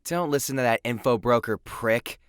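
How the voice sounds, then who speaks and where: A man's voice: mid-range voice, normal speed, smooth, dry recording, lightly acted. Man playing a cool, wisecracking youth, dry recording. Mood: reassuring. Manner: teasing, sardonic, foul-mouthed. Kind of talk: anime-style audio drama acting.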